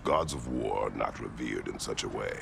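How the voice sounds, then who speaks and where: An actor playing a stoic, grim middle-aged Greek warrior in a video game, speaking in terse, solemn, formal phrases. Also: male voice, lively intonation, normal speed, music in the background, heavily acted. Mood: grave, reflective. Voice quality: very deep gravelly voice. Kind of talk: dramatic video game acting.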